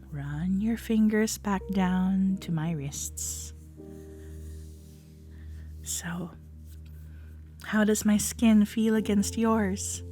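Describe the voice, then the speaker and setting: A woman playing an affectionate, gently teasing cuddler in ASMR roleplay audio, soft-spoken and often slipping into a breathy whisper. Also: on the low side. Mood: tender, relaxed. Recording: soft cafe jazz music behind. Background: soft background music.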